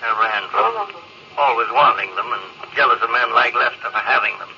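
A man's voice: mid-range voice, sing-song, brisk, creaky. An actor playing a resigned, introspective spaceman with a brooding, blunt manner in a 1950s radio drama. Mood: wistful. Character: resigned, bitter, introspective male spaceman, quietly cynical. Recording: muffled, noisy vintage radio recording.

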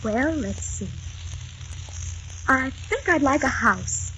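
A woman's voice: high voice, expressive, slow pace, a bit bright, slight background noise, full character voice. A woman acting a tender, devoted young wife in 1940s radio drama style, earnest and soothing. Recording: dull vintage radio recording with music.

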